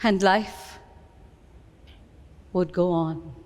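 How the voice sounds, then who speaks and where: A woman with an Indian accent giving a deliberate, expressive dramatic reading of a memoir in a hall. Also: fairly low pitch. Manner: deliberate, expressive storytelling delivery. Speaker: reader at a book event. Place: live reading in an event hall. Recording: reverberant hall.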